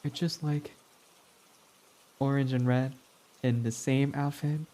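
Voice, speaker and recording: A man's voice: medium pitch, fairly even intonation, takes it slowly, warm tone, lightly acted. A man voicing a prickly, snarky male villain in an ASMR roleplay, talking in a sarcastic, mocking, dismissive way. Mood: snide.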